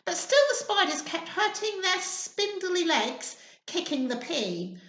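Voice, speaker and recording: A woman reading a children's story in an expressive, sing-song, encouraging way, pausing to put questions to her young listeners. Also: very high voice. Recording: echoey room sound.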